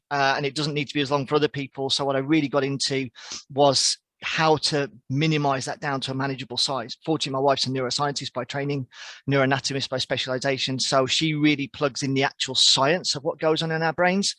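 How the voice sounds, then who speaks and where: A man's voice: mid-range voice, a bit bright, dry recording. Man, 40s, English accent, reflective, dry sound. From English Midlands. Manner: reflective, earnest, with frequent restarts and fillers. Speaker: podcast guest, outdoor coach. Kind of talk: conversational interview answers.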